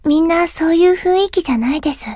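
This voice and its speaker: A woman voicing a shy, wistful teenage schoolgirl in a visual novel, with a soft girlish voice and polite, hesitant phrasing. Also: very high voice, rapid-fire, dry recording, studio quality. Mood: quietly sad. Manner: polite, hesitant, speaking in short gentle phrases. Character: shy, wistful teenage schoolgirl, recently back from long absence. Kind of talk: Japanese visual novel voice acting.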